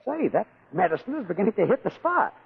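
An actor playing a grumpy husband getting tipsy on medicine in a 1940s radio play. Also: male voice, high voice, very animated, fast talker, exaggerated voice. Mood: tipsy, merry. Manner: gruff then slurring and merry. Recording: tinny 1940s radio broadcast, faint hiss.

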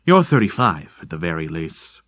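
A man reading aloud, voicing a mysterious unseen stranger in the fog who speaks slowly and formally in a deep, insubstantial voice. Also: big pitch swings, fairly bright tone, dry recording, studio quality. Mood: calm, menacing. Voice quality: deep and insubstantial, slow, measured.

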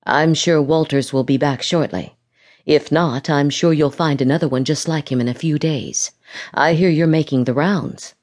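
A woman's voice: deep voice, moderate pace, darkish tone. A woman reading the lines of an impatient, curt adult man in an audiobook romance. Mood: sardonic. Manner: curt, ordering.

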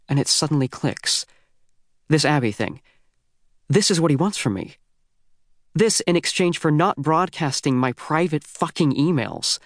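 A man's voice: on the high side, no room sound, clean studio sound. A man with an American accent narrating a first-person teen audiobook in a youthful, wry, conversational way.

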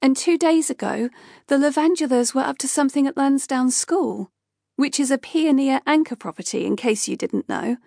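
An exasperated, outspoken young woman, read by a female narrator, firing off complaints in a high, animated voice. Her tone is forceful and scolding, with a gravelly edge in a dry close recording. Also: moderate pace, dry recording, exaggerated voice. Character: young woman, sharp, frustrated and outspoken colleague.

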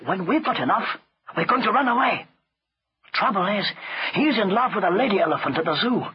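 A man's voice: high-pitched, lively intonation, fairly quick, highs cut off, slight background noise, pitched above the performer's own voice. A man voicing a cheeky, scheming young servant boy in a storybook, glib, chatty and breezily innocent. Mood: breezy, cheeky. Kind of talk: storybook character voice.

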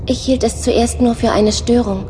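A woman voicing a female onboard computer in an audio drama. She speaks softly and earnestly, lonely and longing to have a body. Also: on the high side, fairly even intonation, normal speed, a little hiss or hum, natural voice. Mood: troubled. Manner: soft-spoken, earnest, searching. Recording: music underscore behind voice.

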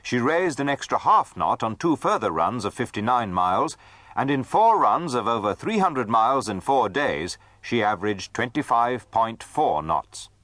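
A man's voice: medium pitch, very animated, moderate pace, a bit bright, dry recording. A man narrating a dramatised history from a script.

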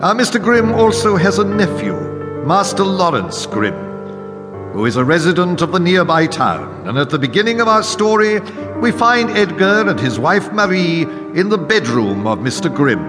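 Theatrical storyteller in long reverb. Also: male voice, sits high, very animated, moderate pace. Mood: solemn, ominous. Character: theatrical storyteller of a gloomy manor tale. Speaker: narrator. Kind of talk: scripted storytelling narration for radio drama. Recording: long reverb, music underneath. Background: music.

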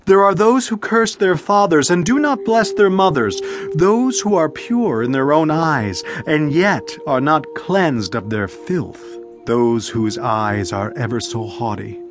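An actor playing a solemn ancient sage, reciting scripture in a theatrical, deliberate and emphatic manner in a dramatized audio Bible. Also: male voice, fairly high pitch, lively intonation, music in the background. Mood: stern, emphatic. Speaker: Bible narrator and reader.

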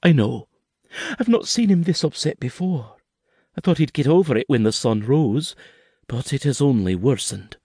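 A man voicing a loving young pregnant Highland wife, speaking softly and soothingly in a raised voice. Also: expressive, moderate pace, dry recording, studio quality, close to an everyday voice. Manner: soft, soothing.

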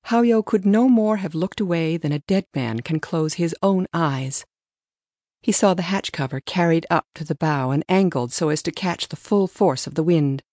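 A woman's voice: on the low side, darkish tone, no echo, clean studio sound. A woman reading scripted story narration as an audiobook narrator.

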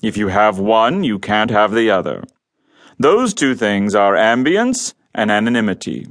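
An actor playing a huge, quietly menacing male crime boss in an audio drama, with a rumbly voice and measured, formal speech. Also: sing-song, heavily acted. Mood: philosophical, measured.

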